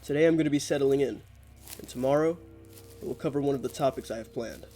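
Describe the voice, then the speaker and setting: A man's voice: medium pitch, fast talker, dark tone, faint music behind, close to an everyday voice. A man playing an investigative journalist who records a musing, wry field log for an audio drama. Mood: scene-setting. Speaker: journalist narrator of a mystery series.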